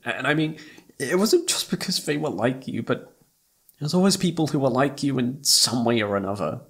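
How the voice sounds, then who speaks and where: A young man playing a lovesick, self-blaming best friend in a halting, emotional, intimate romantic audio roleplay, with a husky voice. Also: medium pitch, animated, a bit bright. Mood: earnest longing.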